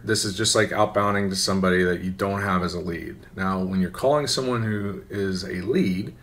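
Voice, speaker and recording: A man giving an informal, conversational software tutorial, often checking in with a quick "right?". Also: on the low side. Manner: conversational, instructional, often tagging phrases with "right?". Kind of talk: informal screen-share walkthrough. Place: voice-over for a screen recording.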